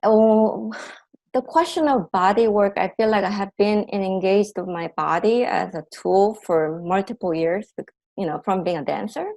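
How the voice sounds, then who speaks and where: A woman's voice: mid-range voice, moderate pace, gravelly, a little mellow, poor audio. A woman in her fifties from South Korea with a Korean accent, talking in a casual interview, thoughtful, with many fillers and restarts. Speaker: massage therapist and dancer.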